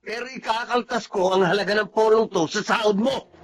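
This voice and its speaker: A man's voice: sits high, steady tone, brisk, close to an everyday voice. A man playing a spoiled young rich son who bullies and accuses the housemaid in a domineering tone. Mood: accusing. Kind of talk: radio drama acting.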